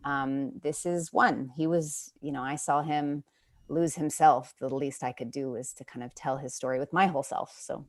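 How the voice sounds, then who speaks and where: A woman's voice: rumbling low, steady tone, moderate pace. An American woman speaking as an interview guest, reflecting earnestly and thoughtfully on her work and building emphatic lists as she goes.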